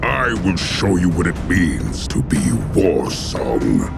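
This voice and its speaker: An actor playing a fierce, defiant orc clan chieftain, harsh and commanding, in an animated game cinematic. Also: male voice, slow, exaggerated voice. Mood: bitter contempt. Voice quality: deep, gravelly. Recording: loud cinematic music behind.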